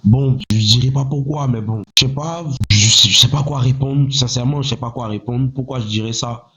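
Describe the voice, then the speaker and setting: A man in his thirties from Côte d'Ivoire, a rapper speaking in a measured, self-assured way, often pausing on "voilà" and asking himself rhetorical questions. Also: mid-range voice, pushed and bright. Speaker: rapper, interview guest. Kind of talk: casual interview talk. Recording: slightly muffled, lo-fi sound.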